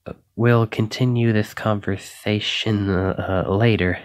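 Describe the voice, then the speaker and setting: A man's voice: lowish voice, steady tone, a little slow, no room sound, clean studio sound, natural voice. A man acting a shy, flustered, self-doubting young knight in an ASMR roleplay, stammering and hesitant as he apologizes. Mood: bashful.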